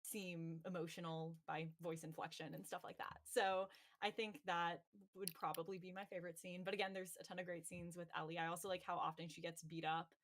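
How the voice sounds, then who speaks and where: A woman's voice: fairly low pitch, flat delivery, fairly quick, hardly any pauses, a bit bright. A woman voice actor chatting casually on a panel, rambling with lots of fillers and a laugh. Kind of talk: casual chat.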